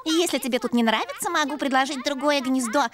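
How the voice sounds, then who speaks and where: A woman's voice: sits high, fast talker, close to an everyday voice. An actress voicing a lovestruck female eagle in a cartoon dub, with a sweet, coaxing manner. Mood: fussy. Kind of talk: cartoon dubbing. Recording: music underneath.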